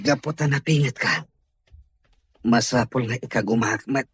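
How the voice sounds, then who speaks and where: Actor as a very husky, strained invalid boss. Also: male voice, medium pitch, fairly bright tone, heavily acted. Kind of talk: Ilocano radio drama acting.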